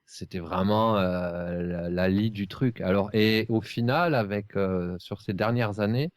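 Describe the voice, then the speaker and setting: A man co-hosting a podcast, talking colloquially and haltingly in casual conversation. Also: fairly low pitch, dry recording, clean studio sound. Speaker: podcast co-host. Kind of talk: casual chat.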